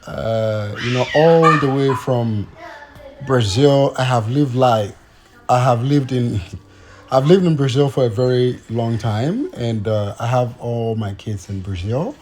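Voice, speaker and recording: A man's voice: medium pitch, takes it slowly, a little mellow, slight background noise. A man from Ghana chatting casually to his vlog audience outdoors, hesitant, with many fillers and restarts. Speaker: vlogger.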